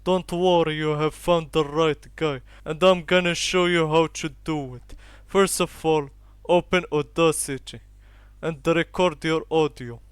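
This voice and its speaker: A young man giving a calm, matter-of-fact step-by-step tutorial voiceover. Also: on the high side, clear, resonant tone, no echo. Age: young adult. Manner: flat, unhurried, matter-of-fact instructions. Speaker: tutorial youtuber.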